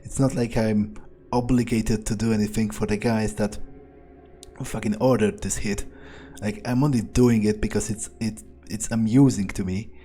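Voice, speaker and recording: A man playing a cynical, arrogant vampire in a game, musing haltingly to himself with plenty of swearing. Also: fairly low pitch, fairly even intonation, warm tone, faint music behind, natural voice. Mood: smug, amused. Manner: musing, halting, foul-mouthed. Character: cynical, arrogant, cold-blooded male vampire hitman. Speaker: gamer playing in character. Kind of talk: in-character game playthrough monologue.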